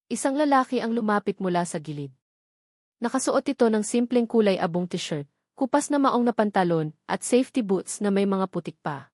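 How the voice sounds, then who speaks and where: A woman's voice: medium pitch, lively intonation, moderate pace, darkish tone, dry recording. Woman narrator, dry close sound. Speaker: story narrator. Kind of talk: scripted dramatic narration of a love story.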